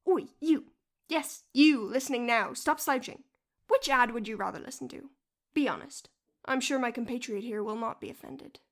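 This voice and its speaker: A woman's voice: a little high, normal speed, natural voice. An actress playing a prickly, mock-sweet saleswoman with sinister patter in a parody radio advert. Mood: indignant. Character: sinister cheery female estate advertiser, prickly.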